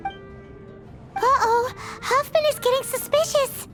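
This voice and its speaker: A woman voicing a tiny, excitable, childlike fairy companion in a video game, squeaky and dramatic. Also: takes it slowly. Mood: panicked. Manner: exclamatory, dramatic, refers to self by name. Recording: background music under the voice.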